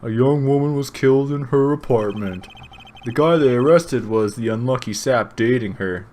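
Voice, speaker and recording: A man voicing an earnest, thoughtful young defense attorney from a video game, reading his lines in a measured, deliberate way. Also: medium pitch, a little mellow, slight background noise, close to an everyday voice. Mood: explanatory. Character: earnest rookie male defense attorney, plain-spoken, thoughtful.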